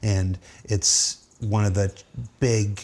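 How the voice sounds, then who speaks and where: A man hosting an unscripted live show, speaking in a halting way with emphatic repetition. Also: lowish voice, little pitch movement, darkish tone. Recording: noisy streamed show audio.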